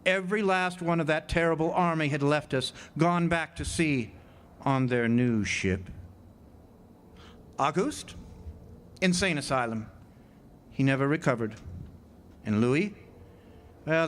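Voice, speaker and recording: An actor playing a haunted, nervous lighthouse keeper in an old-time radio drama monologue, halting and repeating words between dramatic pauses. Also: male voice, fairly high pitch, a little hiss or hum, natural voice. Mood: grim resignation. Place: live amphitheater stage performance.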